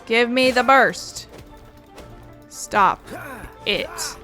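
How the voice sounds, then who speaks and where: A woman's voice: fairly high pitch, lively intonation, very slow, pushed and bright. A woman giving casual, offhand gameplay commentary, wry and prone to swearing. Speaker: video game streamer. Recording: game music under the commentary.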